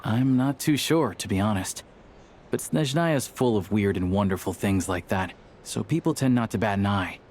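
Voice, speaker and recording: An actor playing a calm, easygoing young man in a video game, composed, reassuring and matter-of-fact. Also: on the low side, moderate pace, a little hiss or hum, lightly acted. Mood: frank. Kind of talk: video game character dialogue.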